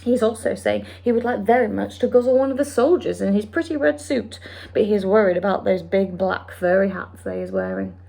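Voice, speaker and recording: A woman's voice: medium pitch, lively intonation, a little fast, creaky, warm tone. A woman voicing a big, kindly male giant who talks in mangled grammar and made-up words. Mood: confiding. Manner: ungrammatical, invented words.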